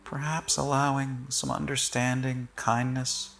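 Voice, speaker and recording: A man leading a guided body-scan meditation in short, gentle, measured phrases with long pauses. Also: medium pitch, level tone, vocal fry, faint music behind.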